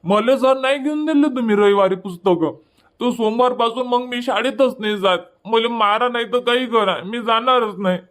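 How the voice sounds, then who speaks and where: A man's voice: extremely high pitch, a bit bright. Man doing a whiny stubborn little boy. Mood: sulky. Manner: sulky, demanding, slow whining. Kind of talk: cartoon dubbing.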